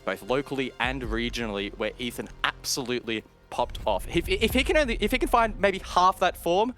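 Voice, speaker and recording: A man's voice: mid-range voice, animated, fairly quick, a bit bright, faint music behind. A man casting an esports match, rattling off stats and player history in detail. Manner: detailed, stat-heavy, rattles off facts. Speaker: esports caster. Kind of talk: play-by-play commentary.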